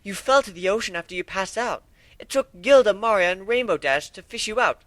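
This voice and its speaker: A man's voice: sits high, animated, brisk, fairly bright tone, dry recording, pitched above the performer's own voice. A man voicing a refined, fussy lady pony with a proper, theatrical manner in a cartoon fan story. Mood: concerned.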